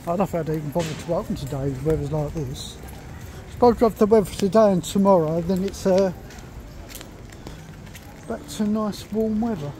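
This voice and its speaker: A man's voice: high-pitched, moderate pace, long pauses, dark tone, a little hiss or hum, rough recording. A man giving rambling, casual commentary as he walks along outdoors as a travel vlogger. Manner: rambling, observational, fragmented remarks. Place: outdoors on a rainy pedestrian shopping street. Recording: outdoor street with passers-by chatter.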